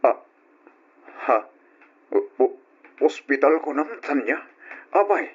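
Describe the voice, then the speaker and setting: A man's voice: medium pitch, animated, slow pace, slightly rough, heavily acted. A man playing an anxious, protective husband in an old-style radio drama. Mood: alarmed. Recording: dull radio audio, faint noise.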